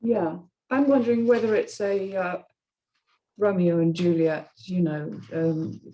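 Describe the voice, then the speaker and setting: A woman's voice: fairly low pitch, unhurried, dark tone, dull audio. A British woman hosting a casual panel discussion, talking thoughtfully and conversationally, often seeking agreement. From Britain. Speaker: host of a script reading panel.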